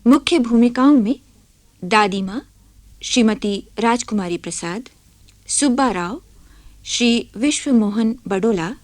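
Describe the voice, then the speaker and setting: A woman radio announcer reading scripted credits in a formal, deliberate and precise manner. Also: medium pitch, no echo.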